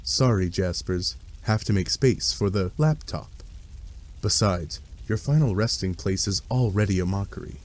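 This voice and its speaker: A man's voice: deep voice, moderate pace. A man reading scripted second-person webcomic narration with wry, dry asides. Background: music.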